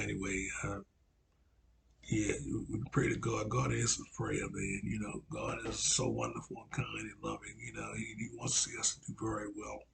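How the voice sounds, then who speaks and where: A man in his forties with a raspy voice, a religious teacher giving a rambling monologue that repeats itself and is filled with "you know.". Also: on the low side, a little hiss or hum, poor audio. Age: 40s. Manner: repetitive, frequent "you know" fillers, lecturing.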